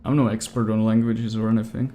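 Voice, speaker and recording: A man with a Czech accent plays a game live and chats with viewers. His voice is dark and mellow, and his English is halting as he searches for words in real time. He talks casually throughout. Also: on the low side, level tone, a little hiss or hum. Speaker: game streamer. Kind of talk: casual live gameplay stream, answering chat.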